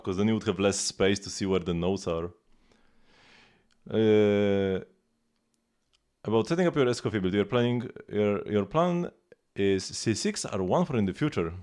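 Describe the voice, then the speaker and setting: A man's voice: fairly low pitch, a little mellow. A man livestreaming a game, talking casually in a rambling, self-deprecating way over the gameplay. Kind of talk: casual live gameplay chat. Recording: game music behind the voice. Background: game music.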